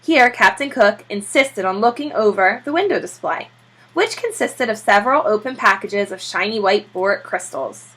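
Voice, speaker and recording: A woman reading a children's story aloud in an expressive, animated teacher's manner. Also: mid-range voice, normal speed, husky, low-quality recording. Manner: expressive, acts out character voices.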